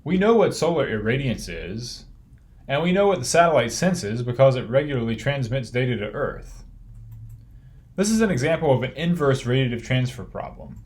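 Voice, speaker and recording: A man reading a technical course lecture in a measured, explanatory way. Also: medium pitch, big pitch swings, a little mellow.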